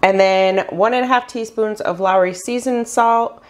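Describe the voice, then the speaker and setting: A woman's voice: mid-range voice, normal speed. A middle-aged woman, chatting step by step through a meal prep tutorial as host of her cooking channel. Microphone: voice-over while hands cook on camera.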